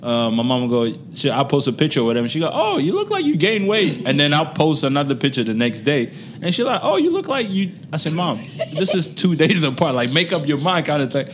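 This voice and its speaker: Male host, casual chat, jokey, rambling delivery, faint low rumble in the background. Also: sing-song, quick pace, hardly any pauses. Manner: joking, rambling, full of restarts. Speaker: podcast host.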